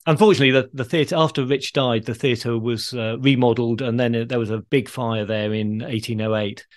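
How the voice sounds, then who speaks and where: A man with a medium-pitched voice hosts a history podcast. He explains things in a measured, conversational way, like informal narration for a listener. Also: steady tone.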